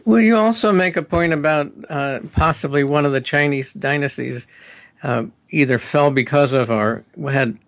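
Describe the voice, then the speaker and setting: A man of medium pitch with a gravelly voice hosts an interview, prompting his guest casually and adding remarks. The sound is dry and close. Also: normal speed, a bit bright, dry recording. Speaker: radio host. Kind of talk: interview questions.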